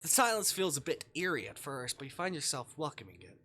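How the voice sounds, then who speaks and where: A man livestreaming a visual novel, switching between jokey chat and reading the text aloud in a playful, expressive way. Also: fairly high pitch, brisk, a little hiss or hum. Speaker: live streamer playing a visual novel.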